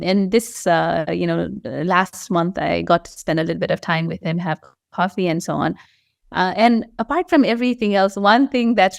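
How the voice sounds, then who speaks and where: A woman's voice: on the low side, gravelly, no room sound, studio quality. A woman hosting an interview, asking questions and encouraging her guest with short affirmations. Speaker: host, interviewer. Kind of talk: casual interview.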